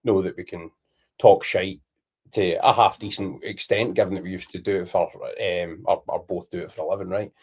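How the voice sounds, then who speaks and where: A man's voice: low voice, steady tone, moderate pace, darkish tone, husky. A Scottish man co-hosting a podcast, rambling candidly in casual chat, swearing freely and dropping Scots words. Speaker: podcast co-host.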